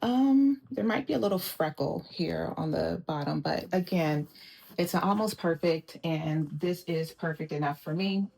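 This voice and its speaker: A woman with a low, deep-set voice talks through an unboxing in a relaxed, casual way. She keeps an even, monotone delivery and pauses often with little fillers. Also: gravelly. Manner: monotone, self-described. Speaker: vlogger.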